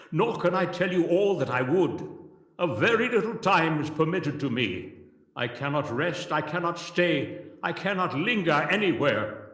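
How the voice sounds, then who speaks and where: An actor playing the tormented, stern ghost of a dead businessman in a radio play, speaking slowly and solemnly in a declamatory way. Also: a little high, a bit bright. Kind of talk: theatrical radio play acting. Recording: echoing long reverb on the voice.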